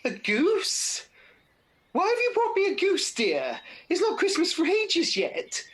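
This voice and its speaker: A woman's voice: extremely high pitch, wildly swooping pitch, takes it slowly, heavily acted. A woman playing a good-hearted, hard-up mother in a radio pantomime, chatty and dry. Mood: puzzled, polite.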